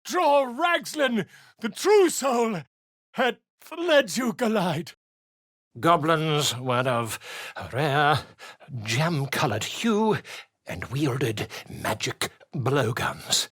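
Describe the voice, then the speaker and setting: A man's voice: on the high side, big pitch swings, extremely slow, fairly bright tone, husky, exaggerated voice. An actor playing a flamboyant, chatty male bard in a video game, theatrical and self-important in his flourishes. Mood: grandiose. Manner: theatrical, grandiloquent, toast-giving flourish.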